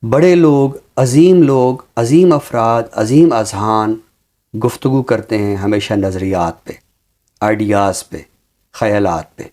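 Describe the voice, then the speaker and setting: A man speaking in a measured, deliberate and earnest way, explaining his views as a talk show commentator with a literary vocabulary. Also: lowish voice, dark tone.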